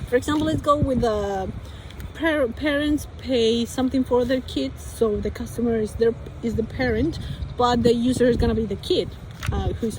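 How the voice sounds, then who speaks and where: A woman's voice: on the high side, darkish tone, slight background noise, low-quality recording. A young woman from Colombia with a Colombian Spanish accent, speaking English as a second language and thinking aloud in a hesitant vlog full of restarts and fillers. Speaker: vlogger.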